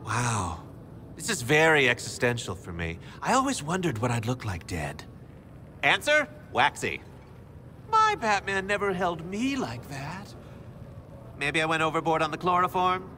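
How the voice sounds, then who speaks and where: Game villain role: mocking young psychotic clown, high sharp voice that swings wildly. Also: male voice, wildly swooping pitch, a little slow, slight background noise, heavily acted. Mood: wry mockery. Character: flamboyant, gleefully sinister younger male clown villain.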